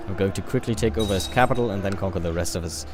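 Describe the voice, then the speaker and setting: Man with a low voice giving offhand gameplay commentary. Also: racing, over music. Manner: offhand and joking, reading game events aloud. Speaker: let's play host.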